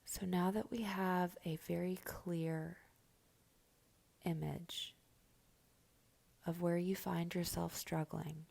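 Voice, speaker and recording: Slow, hushed woman guiding a meditation. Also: on the low side, little pitch movement. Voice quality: soft, breathy, often near whisper. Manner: gentle, slow, instructing with long pauses. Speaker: guided meditation host. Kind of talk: slow guided meditation narration.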